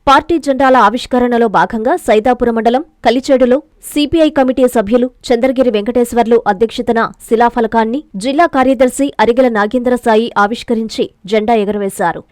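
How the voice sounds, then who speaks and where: Female news reader, high pitched, scripted delivery, very dry close-miked recording. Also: fairly even intonation, a little fast, darkish tone, no room sound.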